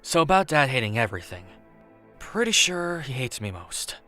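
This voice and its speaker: A wry, weary god of death played by a man, with a mid-pitched voice. He talks like a casual, slightly awkward big brother in an audio drama, dry and sardonic, with music clearly playing underneath. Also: very animated, normal speed, sharp tone. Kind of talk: audio drama acting, conversational. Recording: prominent background music bed.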